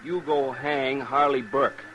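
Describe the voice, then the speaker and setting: A man's voice: mid-range voice, fairly even intonation, takes it slowly, over music, natural voice. A man playing a surly, headstrong young American cattle boss in an old radio western, curt and defiant. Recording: noisy old radio recording.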